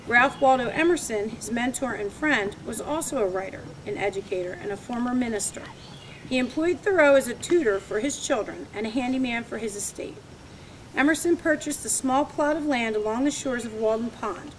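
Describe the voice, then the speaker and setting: A woman with a slightly high voice introduces a homeschool group's play and then reads its opening aloud. She hesitates with fillers when she speaks off the cuff but reads steadily from the text. A clear hiss of background noise runs under the amateur recording. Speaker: homeschool drama group organizer. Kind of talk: spoken introduction, then reading a prologue aloud.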